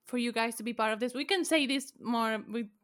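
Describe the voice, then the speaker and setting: A woman's voice: fairly high pitch, brisk, a bit bright. A woman hosting her podcast, chatting casually with guests in a self-deprecating, giggly way. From Argentina. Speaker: podcast host.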